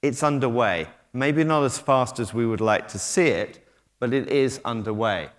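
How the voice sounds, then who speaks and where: A man's voice: mid-range voice, moderate pace. A middle-aged British man speaking at a conference, talking conversationally with frequent ums and restarts as he walks the stage. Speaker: conference speaker, technology company head. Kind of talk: conference keynote talk. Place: conference hall. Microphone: stage mic, moving about while talking. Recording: long hall reverb.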